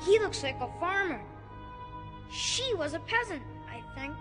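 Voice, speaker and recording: Very high, nervous young boy, woman acting. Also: big pitch swings, a little slow, over music, full character voice. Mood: uneasy. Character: wide-eyed, nervous young boy among friends. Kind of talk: dramatized audiobook acting.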